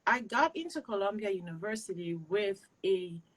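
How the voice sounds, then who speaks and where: A young woman from Cameroon talks in a plain, earnest way as a guest and gives direct, emphatic advice. Her first language is not English, and her voice sits at an ordinary middle pitch. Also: animated, normal speed. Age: young adult. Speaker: interview guest, PhD student. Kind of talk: casual interview, giving advice.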